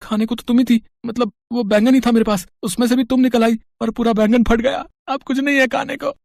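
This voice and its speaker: Actor as excitable poor village lad. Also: medium pitch, fairly quick, vocal fry, dry recording, close to an everyday voice. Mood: bewildered. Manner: stammering when flustered. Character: poor, hungry, easily startled young male villager. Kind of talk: animated moral story dialogue.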